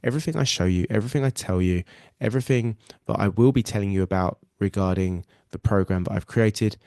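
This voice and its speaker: A man's voice: lowish voice, fast, vocal fry, warm tone, no room sound, studio quality. A man with a nasal cold, hosting a live weight loss webinar in an earnest, reassuring way and often repeating himself. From England. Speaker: weight loss coach and podcast host.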